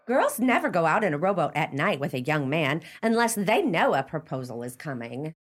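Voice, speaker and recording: An actress playing a proper, romantic-minded lady in a radio play, prim yet expressive. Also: deep voice, moderate pace. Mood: eager. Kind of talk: radio drama dialogue.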